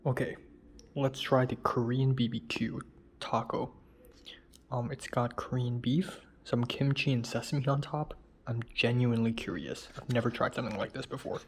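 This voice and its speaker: A young man chatting casually in a vlog, tasting food and recounting his day, his voice a little raspy after a long phone call. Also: mid-range voice, normal speed, slight background noise. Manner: relaxed, chatty, drifting between soft murmurs and louder remarks. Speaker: vlogger.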